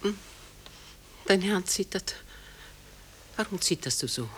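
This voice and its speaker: A woman's voice: medium pitch, expressive, slow, slight background noise. An actress playing an elderly, possessive mother in a German radio play, speaking in a tender, plaintive, probing manner. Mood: probing, earnest. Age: older. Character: elderly, still beautiful, possessive, anxiously doting mother.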